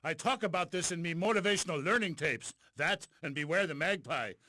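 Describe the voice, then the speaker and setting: A man's voice: fairly high pitch, brisk. A man voicing a boastful, crude Viking warrior with a put-on old Norse brogue and an archaic, insulting way of talking. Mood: blustering. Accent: put-on old Norse pirate brogue. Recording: loud background noise.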